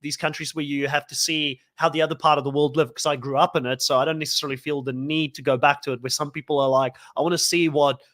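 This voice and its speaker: A man's voice: fairly high pitch, little pitch movement, quick pace, few pauses, fairly bright tone. A young man from South Africa whose first language is Afrikaans, co-hosting a podcast and chatting casually, rambling with lots of likes and ums. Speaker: podcast co-host.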